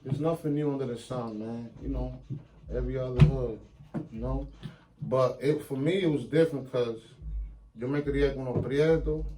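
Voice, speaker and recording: A man's voice: medium pitch, fairly even intonation, normal speed, rough recording. A man, chatting as an interview guest in street slang and switching into Spanish now and then. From New York. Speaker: guest rapper. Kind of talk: casual interview chat.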